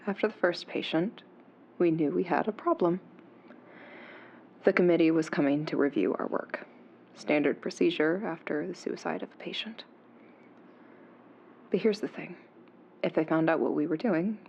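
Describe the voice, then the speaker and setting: A woman acting as a haunted, defiant neuroscientist confessing secrets in an audio drama, in a tense, hushed, breathy delivery. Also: fairly low pitch, normal speed, long pauses, lo-fi sound, a little hiss or hum. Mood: grave, confiding.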